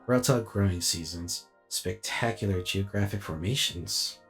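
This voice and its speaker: A man playing a weary, reflective alien robot warrior in a dramatic inner monologue, speaking deliberately and formally. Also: on the low side, a bit bright, soft background music. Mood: awed, reflective.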